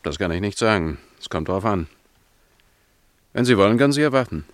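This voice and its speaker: Radio drama actor as desk policeman: male, lowish, rapid, official but chatty. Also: lively intonation, dry recording, close to an everyday voice. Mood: businesslike. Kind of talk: German radio drama acting.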